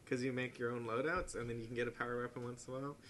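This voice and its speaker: Fast-talking young man, noisy room. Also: mid-range voice, little pitch movement, fairly bright tone. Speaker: podcast co-host. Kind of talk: casual chat about video games. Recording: amateur podcast, clear background noise.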